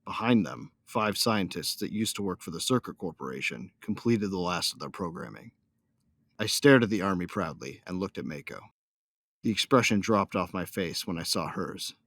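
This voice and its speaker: A man's voice: low voice, lively intonation, normal speed, a bit bright, no room sound, studio quality, full character voice. A man playing a devoted young starship captain, narrating his own story in a science fiction audio drama. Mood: earnest, tender. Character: young newlywed starship captain, loyal son of smugglers, devoted husband. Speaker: first-person narrator and character.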